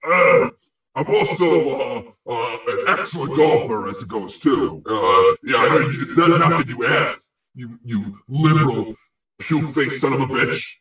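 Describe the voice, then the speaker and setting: A man's voice: medium pitch, lively intonation, a little slow, fairly bright tone. A man playing an angry, boastful ghost in a comic audio play, sputtering crude insults. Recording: very echoey, distant microphone.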